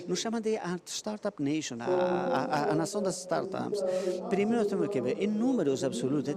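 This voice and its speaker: A man from Israel with an Israeli accent, giving a conference presentation and often halting and repeating words while he searches for them. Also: fairly high pitch.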